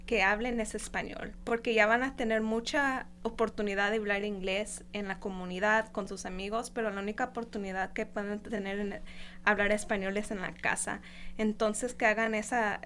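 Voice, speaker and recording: A woman's voice: medium pitch, fairly bright tone. A young woman from California, a graduating student, answering interview questions in an earnest, casual way with frequent restarts. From California, of Guatemalan parents. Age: young adult.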